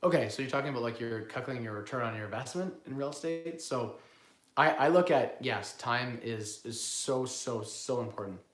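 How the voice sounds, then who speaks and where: A man with a cold, hoarse, hosting a casual live-stream Q&A on investing and rambling as he answers viewers. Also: mid-range voice, a little fast, a bit bright, echoey room. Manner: rambling, self-correcting, repeats words. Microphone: phone built-in mic, no lapel.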